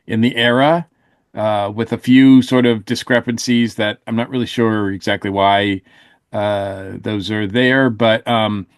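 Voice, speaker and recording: Halting man reviewing on a podcast. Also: on the low side, steady tone, smooth, dry recording, clean studio sound. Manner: halting, hedging, thoughtful. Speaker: podcast panelist. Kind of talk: casual review discussion.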